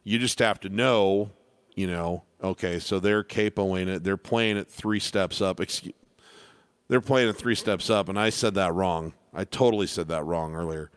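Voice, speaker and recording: A man with a baritone voice, teaching piano in a relaxed, rambling way during a live question-and-answer stream. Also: moderate pace, clear, resonant tone, no room sound, clean studio sound. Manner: relaxed, rambling with restarts and fillers.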